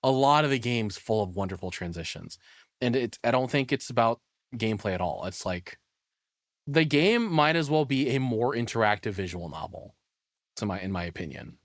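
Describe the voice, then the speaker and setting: A man's voice: medium pitch, expressive, normal speed, no echo, clean studio sound. A man giving casual, rambling and opinionated reaction commentary in an informal way. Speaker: reaction streamer.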